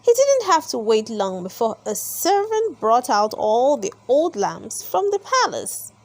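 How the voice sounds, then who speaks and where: Female children's storybook narrator: high voice, unhurried, expressive scripted read-aloud. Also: creaky.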